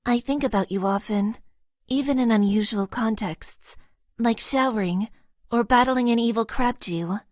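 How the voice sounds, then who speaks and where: A woman voicing a shy, formal young mecha pilot in a game, speaking softly in stiff, literal phrases. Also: fairly high pitch, normal speed, no echo, studio quality, natural voice. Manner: soft-spoken, stiff and literal. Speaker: voice actress. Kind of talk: game character voice lines.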